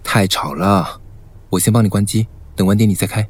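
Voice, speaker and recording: An actor playing a calm, protective and controlling young man in a romance radio drama, speaking in terse commands. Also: on the low side, brisk, husky. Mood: firm.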